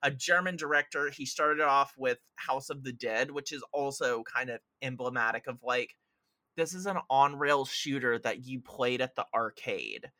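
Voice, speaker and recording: A man's voice: mid-range voice, dry recording. A man hosting a podcast, talking about films in a casual, opinionated and emphatic way. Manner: animated, opinionated, profanity-prone, emphatic. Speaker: podcast host. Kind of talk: casual film discussion.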